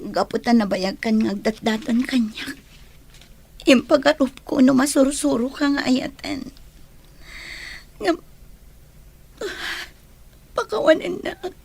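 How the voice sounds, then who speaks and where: A woman's voice: high voice, slow pace, creaky, long pauses, darkish tone, breathy edge, full character voice. An actress with a Filipino accent playing a guilt-ridden, self-sacrificing young woman in a radio drama. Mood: remorseful, tearful.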